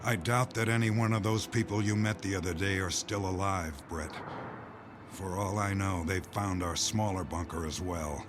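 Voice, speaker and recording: A man playing a grim doomsday insider in an audio drama, speaking in measured, weighty phrases. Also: sits low, fairly even intonation, natural voice. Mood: resigned. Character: grim male insider revealing a doomsday conspiracy. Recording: music bed under the voice.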